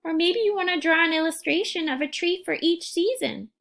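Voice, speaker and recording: A woman reading a picture book aloud for young children and giving gentle instructions, phrasing things deliberately and carefully. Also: extremely high pitch, vocal fry, soft background music.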